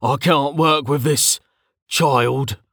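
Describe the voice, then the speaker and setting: A narrator voicing a grumpy, battle-weary male officer, gruff and exasperated, in a fantasy audiobook. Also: medium pitch, takes it slowly, fairly bright tone, no room sound, studio quality, voice pushed up, heavily acted.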